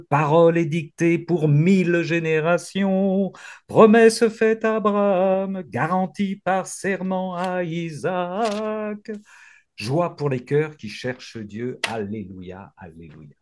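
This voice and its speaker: A man's voice: high-pitched, takes it slowly. A man leading a prayer reading group, reciting psalms fervently and encouraging the others as he goes. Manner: fervent, warm encouraging responses.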